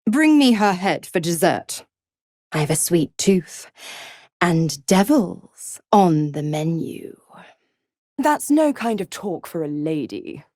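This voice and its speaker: A woman's voice: fairly low pitch, big pitch swings, slow, a little mellow, no room sound, studio quality, full character voice. A woman playing a mocking, scheming female devil in a video game, theatrical, teasing and condescending. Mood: sly, menacing. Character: seductive, mocking, scheming female devil, smugly cruel patron. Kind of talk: video game character acting.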